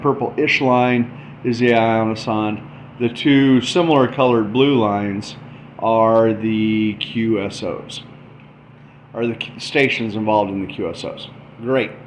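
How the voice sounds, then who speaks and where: A man's voice: fairly low pitch, steady tone, slow. A man giving an informal tutorial walkthrough, thinking aloud as he explains a demonstration. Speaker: explainer presenting a math and radio demo. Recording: dull lo-fi mic with faint background noise.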